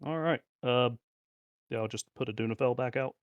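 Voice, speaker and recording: A man's voice: mid-range voice, normal speed, clean tone, dry recording, highs cut off. A middle-aged man chatting casually as he plays a card game, talking through his moves and muttering to himself and the cards.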